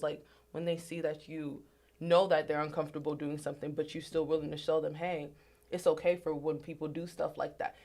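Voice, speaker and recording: Woman, young adult, low deep voice, earnest, reflective, self-deprecating, casual chat. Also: darkish tone. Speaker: podcast co-host.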